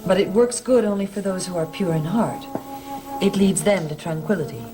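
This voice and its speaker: A woman's voice: on the low side, normal speed, lightly acted. A woman acting in a gothic soap opera, playing a mysterious, solemn reader of ancient lore. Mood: solemn, mystical. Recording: old TV soundtrack with music.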